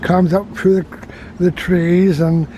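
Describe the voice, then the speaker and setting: A man's voice: high voice, flat delivery, slow pace, crowd noise. A man making casual, wry, anecdotal conversation. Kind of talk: casual chat. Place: outdoor seafront cafe. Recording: noisy outdoor recording with traffic.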